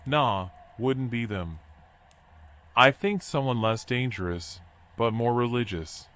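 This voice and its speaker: An actor playing a washed-up animal drifter in a noir video game, folksy, rambling and resigned. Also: male voice, medium pitch, expressive, slow, fairly bright tone. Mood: wry, resigned. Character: washed-up male drifter animal, philosophical, wry, weary. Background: music.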